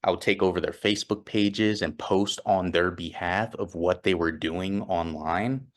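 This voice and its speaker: A young man with a very low voice tells his story in a loose, offhand way. He rambles, stops and restarts, and fills his sentences with fillers. His voice has a slightly nerdy sound. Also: fairly even intonation, a little slow. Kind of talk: casual first-person storytelling.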